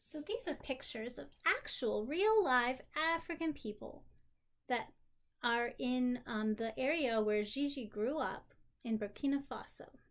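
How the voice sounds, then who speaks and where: Female schoolteacher, higher voice, slow pace, explaining plainly to kids and prompting them with questions. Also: lively intonation. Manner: unhurried, questioning, teacherly. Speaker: special education teacher. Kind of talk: read-aloud and simple explaining for children.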